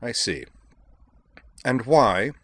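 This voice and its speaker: A stern male professor, as a male narrator plays him, with a low voice and a dry, close sound. He is cold and scornful, speaking in cutting, formal phrases as in a storybook reading. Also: moderate pace, fairly bright tone, dry recording. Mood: cold, clipped. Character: cold, harsh, indignant male literature professor, scornful and commanding.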